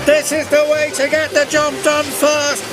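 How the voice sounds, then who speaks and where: A man voicing an impatient, boastful young male steam engine in a storybook, with a high, strained voice. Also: monotone, a little slow. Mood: gleeful. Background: background music.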